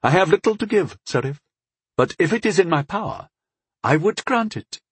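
A man's voice: high-pitched, animated, normal speed, slightly rough, no room sound, pitched above the performer's own voice, heavily acted. A man voicing a stern, mighty old elven lord in a fantasy audiobook, speaking in a formal, commanding way. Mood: grateful, solemn. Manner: lordly, formal, emphatic. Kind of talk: audiobook character voice.

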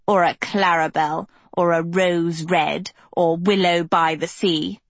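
A sour teenage witch girl, voiced by a woman narrator, low and husky. She drawls her contempt slowly, like a storybook villain who enjoys cutting people down. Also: steady tone, no echo. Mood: mocking.

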